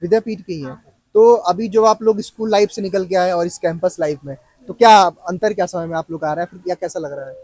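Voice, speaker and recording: A male interviewer who talks very fast and fires off short questions. His voice is husky and a little rough. He sounds close and dry, with no room echo. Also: sits high, a little mellow. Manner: prompting, rapid-fire questions. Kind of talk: casual campus interview.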